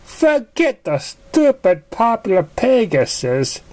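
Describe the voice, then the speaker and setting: A storyteller voicing an emotional, impulsive young female winged pony in a high, squeaky, exclamatory voice for a fan story. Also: big pitch swings, slow, a little mellow. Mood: defiant.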